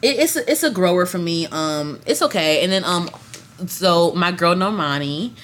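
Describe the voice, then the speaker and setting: Young woman, lower voice, candid slangy chat, faint ambient hiss. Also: lively intonation, normal speed, a bit bright. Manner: candid, slangy, frequent restarts. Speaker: podcast co-host. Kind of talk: casual chat.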